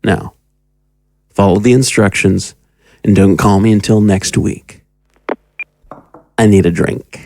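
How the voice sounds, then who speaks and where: Guy playing cruel bossy cult magus, deep mellow voice, bone dry audio drama sound, talks down to you. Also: fairly even intonation, takes it slowly, pauses often, no echo. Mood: menacing, condescending. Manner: condescending, commanding. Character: sinister, domineering male occult magus, cruel and mocking mentor.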